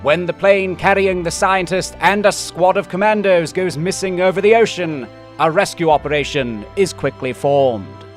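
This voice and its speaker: An actor playing a dramatic announcer who introduces a wartime commando tale in grave scripted narration. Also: male voice, high-pitched, moderate pace, soft background music, close to an everyday voice.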